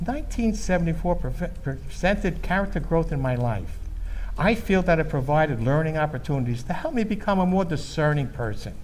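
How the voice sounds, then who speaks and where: An actor playing an earnest, patriotic baby-boomer storyteller in an emphatic, solemn stage monologue. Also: male voice, lively intonation, moderate pace, a little mellow, a little hiss or hum, natural voice. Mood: solemn, resolute.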